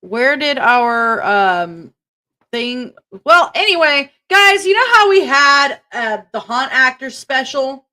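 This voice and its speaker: A woman co-hosts a podcast in a loose, chatty way, running through the housekeeping and tripping over her own phrasing. Her voice sits high and comes across bright and sharp. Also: very animated, slow. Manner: chatty, stumbles over reading announcements. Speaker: podcast co-host. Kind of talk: casual chat and show announcements.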